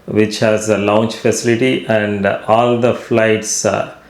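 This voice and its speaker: A man answering interview questions as a guest, explaining slowly with frequent "uh" fillers. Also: lowish voice, little pitch movement, reverberant. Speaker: travel agency founder guest.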